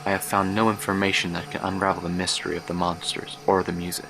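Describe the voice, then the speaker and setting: An actor playing a haunted, sleepless scholar in a horror audio drama, delivering a brooding, measured first-person monologue. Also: male voice, low voice. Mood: despairing. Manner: brooding, measured, literary phrasing.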